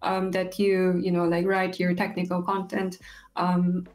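A woman's voice: lowish voice, completely flat delivery, a little slow, darkish tone. Co-host: young woman, casual and hesitant, on a video call. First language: Japanese. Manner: hesitant, many fillers and restarts. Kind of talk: casual chat. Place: home room.

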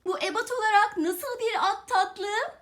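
A woman with a strikingly high-pitched voice talks to her young child as a teaching mother. She uses simple questions and answers, repeats herself in a singsong way and offers affectionate encouragement. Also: a little slow, a bit bright.

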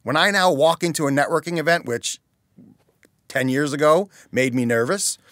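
A man's voice: fairly high pitch, moderate pace, fairly bright tone, no echo. Middle-aged man, interview guest, earnest and explanatory, dry studio mic. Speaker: chamber of commerce president, guest. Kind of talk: casual interview talk. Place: small dark studio with couches. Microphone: studio mic on stand.

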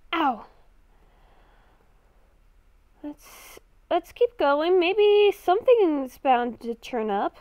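A woman's voice: high voice, no echo, highs cut off, exaggerated voice. A young woman improvising a Minecraft roleplay as a confused, lost young woman, hesitant and thinking aloud. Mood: curious. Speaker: gaming video creator.

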